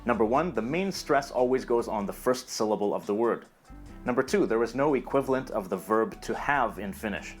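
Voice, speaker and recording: A man narrating a scripted educational video in a calm, even, explanatory way. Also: mid-range voice, fairly quick, soft background music.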